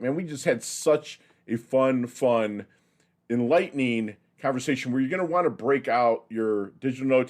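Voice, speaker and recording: Upbeat man hosting a podcast. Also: mid-range voice. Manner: enthusiastic, hype-filled delivery. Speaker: podcast host. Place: home near the ocean.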